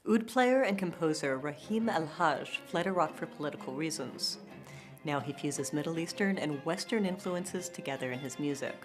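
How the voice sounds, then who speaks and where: A woman with a notably low voice narrates a documentary segment. Her reading is smooth and measured, and faint music runs beneath it. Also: animated.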